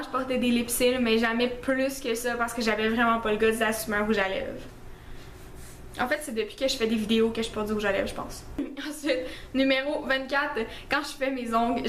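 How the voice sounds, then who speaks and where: A woman's voice: fairly high pitch, fairly bright tone, slight background noise. Chatty Québécoise woman, echoey room. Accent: Québécois French. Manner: chatty, self-mocking, frequent fillers. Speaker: beauty vlogger. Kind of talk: casual list-style vlog.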